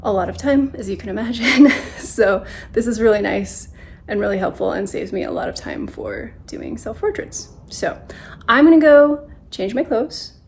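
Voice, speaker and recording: Higher-pitched woman, casual vlog chat. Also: moderate pace, darkish tone, slight background noise. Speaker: photographer making self-portraits. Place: dining room at home.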